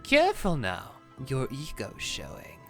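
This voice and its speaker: Man acting a sly demon, theatrically swooping pitch. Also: wildly swooping pitch, measured pace, music in the background. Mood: dryly taunting. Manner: teasing, drawling, sarcastic. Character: sly, taunting demon messenger with theatrical flair. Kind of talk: Minecraft roleplay voice acting.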